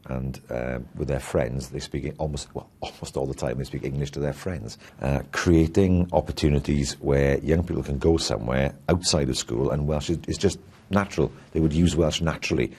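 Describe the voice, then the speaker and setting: A man's voice: extremely low pitch, normal speed, a little mellow, slightly rough. A father answering interview questions, hesitant with frequent ums and uhs. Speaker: interviewed parent.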